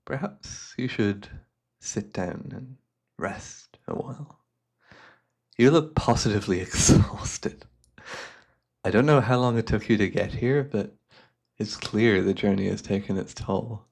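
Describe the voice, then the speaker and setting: A man playing a devious, cultured hypnotist and collector in a fantasy ASMR roleplay, speaking in florid, theatrical phrases with a softly coaxing manner. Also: on the low side, unhurried, gravelly, long pauses. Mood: gently coaxing.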